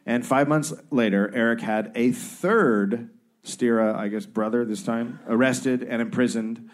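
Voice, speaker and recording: A man's voice: mid-range voice, a little slow. Man narrating history on a live stage. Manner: reads history notes aloud, stumbles over names, joking. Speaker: podcast host telling a history story. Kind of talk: live comedy podcast storytelling. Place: stage before a live audience.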